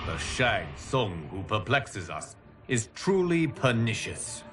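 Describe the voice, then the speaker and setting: A man playing a solemn, formal god who guards the timelines, narrating a game cutscene in a grave, deliberate way. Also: lowish voice, very animated, over music. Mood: grave warning. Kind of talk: scripted dramatic game narration.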